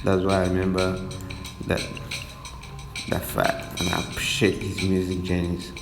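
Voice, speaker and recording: Nigerian man, podcast host, slangy reflective monologue, deep flat voice, clear music in the background. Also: measured pace. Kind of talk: personal storytelling monologue.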